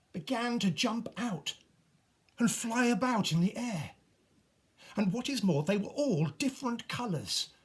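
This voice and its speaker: Male storybook narrator, husky and unhurried, very animated pitch, expressive reading for young children. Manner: expressive, with dramatic pauses and hushed emphasis.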